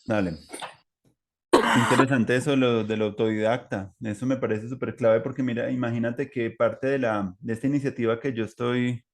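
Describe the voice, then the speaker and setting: Male podcast interviewer, Colombian accent, medium pitch, informal and rambling conversational delivery. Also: fairly even intonation, normal speed. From Manizales, Colombia. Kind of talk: casual chat.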